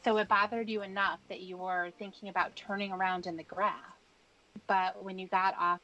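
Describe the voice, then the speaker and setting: A woman conducting a probing interview as an interrogator, measured, skeptical and pressing. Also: mid-range voice, flat delivery, sharp tone, lo-fi sound. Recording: noisy room recording.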